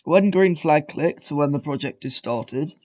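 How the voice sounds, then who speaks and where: A man's voice: on the high side, unhurried, darkish tone, dull audio. Man with a cold, rambling tutorial. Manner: rambling, self-correcting, lots of ums and throat clearing. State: has a cold. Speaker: tutorial maker. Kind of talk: casual screen-recorded coding tutorial.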